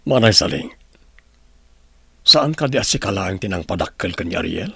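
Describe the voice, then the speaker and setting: A man's voice: low-pitched, expressive, moderate pace, gravelly, a bit bright, slightly rough, no echo, exaggerated voice. A man playing an earnest, anguished doctor in a radio drama, with solemn, pleading delivery. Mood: insistent, questioning. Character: anguished adult male doctor, earnest, insistent. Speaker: voice actor.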